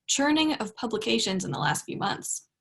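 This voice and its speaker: A woman's voice: a little high, big pitch swings, moderate pace, creaky, a bit bright, breathy edge. A woman moderating a talk, reading out audience questions in a polite, slightly hesitant way. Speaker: event moderator.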